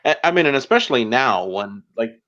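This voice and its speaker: A man hosting a casual podcast interview, rambling and halting as he works toward his questions. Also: medium pitch, sing-song, a bit bright, lo-fi sound. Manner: halting, rambling, filler-heavy.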